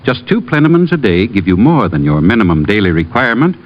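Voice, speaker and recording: Older-style radio ad guy, deep and animated, dull vintage recording. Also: fast talker. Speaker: commercial pitchman. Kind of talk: 1940s radio advertising read. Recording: muffled old radio recording.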